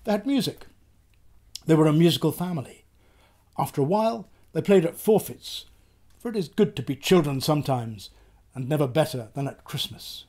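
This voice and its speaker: An older man in his sixties or above giving an expressive, theatrical reading of a literary story. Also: fairly high pitch, moderate pace. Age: 60s or older. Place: small book-lined room, indoors.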